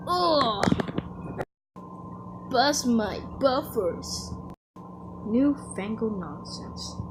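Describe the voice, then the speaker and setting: A woman's voice: high voice, noisy background, full character voice. A woman voicing an earnest, kindly but anxious steam engine character from a children's cartoon, with a fretful, exclamatory delivery. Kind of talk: animated children's series character lines.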